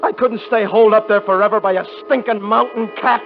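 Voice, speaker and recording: A stammering, scared young hunter. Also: male voice, high-pitched, steady tone, a little fast, music in the background. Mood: tense narration. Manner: stammering, panicky. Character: lone young male hunter, frightened, haunted. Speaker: narrator and lead character. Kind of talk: 1950s radio drama acting. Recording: dull old radio broadcast.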